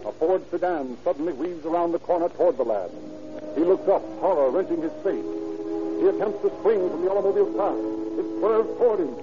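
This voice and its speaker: A man's voice: fairly high pitch, animated, fairly quick. A man narrating a radio drama in a solemn, melodramatic 1940s scripted style. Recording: muffled old radio, loud music behind. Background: loud dramatic music.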